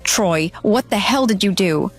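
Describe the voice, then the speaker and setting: A woman's voice: medium pitch, lively intonation, unhurried, faint music behind. Female actor as lying ex-fiancée, mid-range voice, defensive and pleading, easily flustered, for an audio drama. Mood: panicked. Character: deceitful runaway bride, pleading, defensive and indignant. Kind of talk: dramatized phone dialogue.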